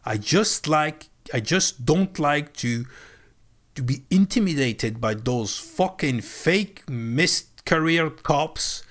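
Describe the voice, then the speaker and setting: Animated, foul-mouthed male streamer. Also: a little high, slow pace. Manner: animated, swears freely, acts out heated arguments. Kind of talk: casual storytelling on a live stream.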